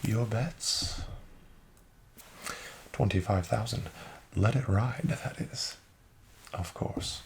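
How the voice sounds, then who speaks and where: A soft-spoken man doing an ASMR roleplay as a friendly, courteous casino dealer, speaking in a formal, measured and encouraging way. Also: on the low side, vocal fry, a little hiss or hum. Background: card shuffling and clicks.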